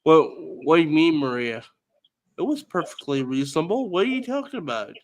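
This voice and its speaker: A man joins a casual livestream and chimes in with quick jokes and short remarks. His voice sits slightly high for a man. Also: animated. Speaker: livestream guest.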